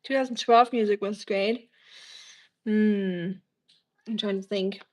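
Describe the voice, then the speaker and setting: A woman's voice: mid-range voice, steady tone, slow pace. A woman from Germany with a German accent, chatting casually on a livestream and answering viewers in a rambling, teasing way.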